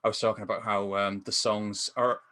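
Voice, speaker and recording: A man's voice: on the low side, level tone, a little fast, sharp tone. A man in his thirties casually reviewing films in a rambling, enthusiastic way over a headset. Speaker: podcast film reviewer. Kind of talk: casual film discussion. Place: indoor room at home, on a video call.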